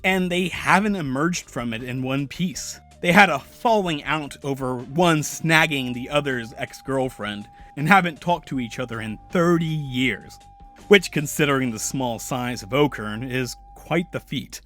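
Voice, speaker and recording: A man narrating a video essay in a measured, analytical way. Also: medium pitch, a bit bright, faint music behind. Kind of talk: scripted narration.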